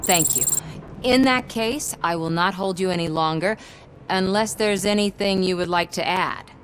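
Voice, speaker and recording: A woman's voice: medium pitch, normal speed, natural voice. A self-assured female TV reporter played by a woman in a game cutscene. Her mid-range voice is persistent and persuasive as she probes for answers. Light noise runs underneath. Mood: frank, poised. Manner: smooth-talking, probing, persuasive. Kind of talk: video game cutscene acting.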